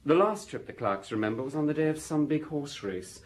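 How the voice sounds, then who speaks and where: A man's voice: fairly high pitch, expressive, fairly quick, lightly acted. An actor playing a dutiful, respectful junior policeman in a radio drama, reporting facts in an orderly way. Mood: matter-of-fact.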